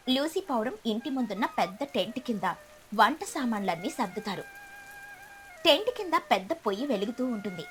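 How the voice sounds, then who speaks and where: A woman's voice: on the high side, sharp tone. A woman narrating a scripted children's story. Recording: music behind the voice.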